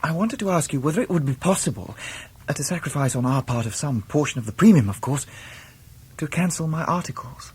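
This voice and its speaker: Young English gentleman, earnest, polite, lovestruck, swooping intonation, breathy husky edge, period radio drama. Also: a little high, expressive, fairly quick. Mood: polite, anxious.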